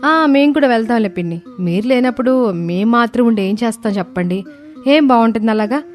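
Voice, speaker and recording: A woman voicing a stingy, scheming young daughter-in-law in an animated moral story, pushy and exaggerated. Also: fairly high pitch, brisk, pitched above the performer's own voice, close to an everyday voice. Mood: resigned, wheedling.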